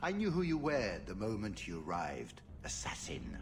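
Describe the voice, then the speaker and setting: A man's voice: on the low side, wildly swooping pitch, moderate pace, music in the background. A man playing a smug, scheming aristocratic duke in a video game cutscene, condescending and theatrical. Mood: smug menace.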